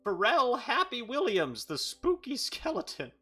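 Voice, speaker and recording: A woman's voice: a little high, wildly swooping pitch, a little slow, a bit bright, breathy edge, faint music behind, heavily acted. A woman voicing a dramatic, torn young male lover with melodramatic pleading. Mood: anguished.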